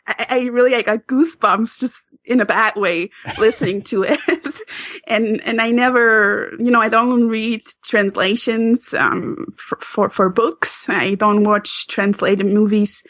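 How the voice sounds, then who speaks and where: A woman's voice: fairly high pitch, steady tone, unhurried, fairly bright tone, no room sound. A Swiss woman with a Swiss German accent, chatting casually as a podcast guest, laughing often and restarting sentences. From Switzerland.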